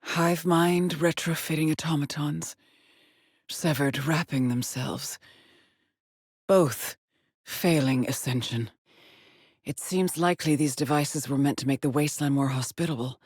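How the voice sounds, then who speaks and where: A husky, haunted space explorer. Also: female voice, low voice, steady tone, darkish tone, no echo, studio quality. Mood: brooding, analytical. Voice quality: husky, breathy, often dropping to a whisper. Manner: slow, deliberate, cryptic pronouncements. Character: weary, haunted woman space explorer, brooding and obsessive. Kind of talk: dramatic video game monologue, log-like narration.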